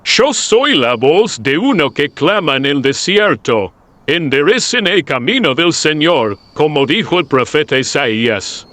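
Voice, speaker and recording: Man playing earnest prophet, slow delivery, animated swings in pitch, solemn proclamation, Bible radio drama. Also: no echo, heavily acted. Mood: solemn proclaiming. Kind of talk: Bible radio play acting.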